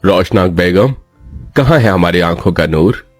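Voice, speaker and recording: A man's voice: low-pitched, animated, a little slow, no room sound, soft background music. A man playing a kind-hearted, wealthy middle-aged father who speaks in a fond, courtly manner in an audio story.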